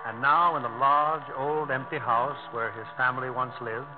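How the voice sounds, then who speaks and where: A man narrates as host of an old radio drama. His delivery is measured and dramatic at a middle pitch. Prominent music plays behind the muffled broadcast. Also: fairly even intonation. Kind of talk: scripted 1950s radio narration.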